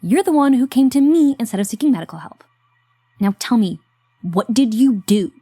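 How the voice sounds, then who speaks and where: A woman's voice: fairly high pitch, expressive, moderate pace, mellow, slightly rough, dry recording, clean studio sound. An actress playing an exasperated young woman scolding a friend in an audio drama, sarcastic and protective.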